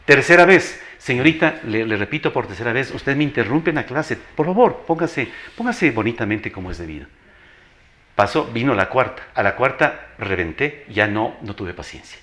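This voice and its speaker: An older man with an Ecuadorian accent speaks as a television interview guest. His voice is strong, like an announcer's, at a medium pitch. He tells anecdotes emphatically, repeating phrases and acting out the dialogue. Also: sing-song, moderate pace, a bit bright. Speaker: interview guest, veteran teacher.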